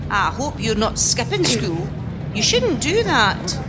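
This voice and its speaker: An amateur actress with a Scottish accent playing a fed-up but kindly woman in a radio play, expressive and full of questions. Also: fairly high pitch, takes it slowly, noisy background. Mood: flustered, concerned. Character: Mabel, fed-up kindly woman craving a day off.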